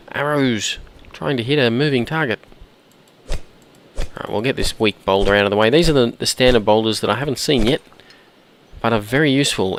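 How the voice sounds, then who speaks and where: A man with a hoarse, croaky voice from a cold, chatting casually while he plays and often clearing his throat. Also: mid-range voice, fairly bright tone, a little hiss or hum. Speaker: gameplay commentator. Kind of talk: casual let's-play commentary.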